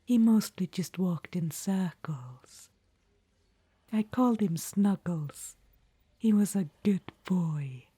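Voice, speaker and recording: An imperious undead witch-queen, whispered by a woman in an ASMR audio roleplay. The whisper is slow, dark and airy, pressed close to a dry mic. She fusses over her listener as darling between boasts. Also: lowish voice, animated, husky, dry recording, heavily acted. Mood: fond. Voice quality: whispered throughout. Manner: fond, chatty, calls listener darling.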